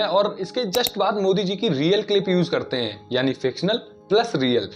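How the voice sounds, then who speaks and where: A man giving casual, argumentative explanatory commentary, putting rhetorical questions to his listeners. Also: fairly high pitch. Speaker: video commentator. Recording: dull lo-fi audio, music behind. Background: background music.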